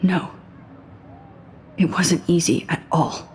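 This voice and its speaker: A woman voicing an earnest teenage photography student in a video game, soft and often half-whispered. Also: on the low side, takes it slowly, slight background noise. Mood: pointed. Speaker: game protagonist.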